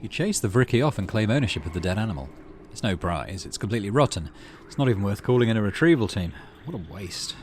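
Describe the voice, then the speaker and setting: A man doing a casual video game playthrough, reading story text aloud in measured narration with muttered asides. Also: lowish voice, big pitch swings. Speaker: gaming channel host. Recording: noticeable background noise.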